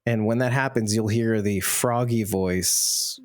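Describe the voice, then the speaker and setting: A man's voice: on the low side, steady tone, unhurried, no room sound, studio quality. Chatty male podcast host. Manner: chatty and playful, tangents and self-deprecating asides. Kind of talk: casual chat.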